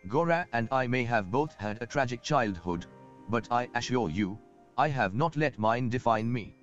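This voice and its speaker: A man's voice: medium pitch, expressive, moderate pace, natural voice. Man acting a courtly merchant, muffled, over music. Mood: wry, assured. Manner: formal, eloquent, archaic phrasing. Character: courtly, self-assured wealthy merchant, risen from poverty. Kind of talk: scripted video game dialogue. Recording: muffled game audio with background music.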